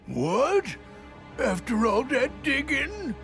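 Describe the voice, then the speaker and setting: A man voicing a big, dim, grumbling cartoon animal henchman in a gravelly, folksy drawl. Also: extremely high pitch, very animated, slow, fairly bright tone, soft background music, heavily acted. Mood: put-upon.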